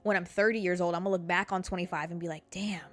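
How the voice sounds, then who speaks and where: A woman's voice: lowish voice, fairly even intonation, rapid-fire, faint music behind. A woman in her mid-twenties hosting a podcast, giving listeners a blunt, profanity-laced motivational pep talk and calling them babe and girl. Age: 20s. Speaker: podcast host. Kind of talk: casual motivational monologue.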